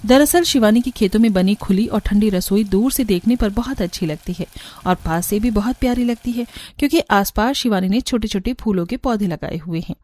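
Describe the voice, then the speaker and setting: A woman's voice: mid-range voice, a little fast, darkish tone. A woman narrator reading a scripted story for a cartoon.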